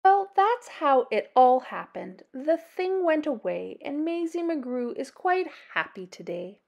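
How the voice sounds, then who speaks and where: A woman reading a children's picture book aloud as a storyteller, with an expressive, deliberate delivery. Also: high-pitched, vocal fry. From Canada.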